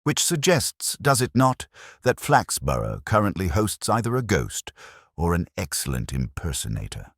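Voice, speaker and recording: A man voicing a patient, detached, polite police inspector in a mystery story, soft-spoken and formal. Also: sits low, huge pitch swings, moderate pace, no room sound, studio quality, heavily acted. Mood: quietly musing.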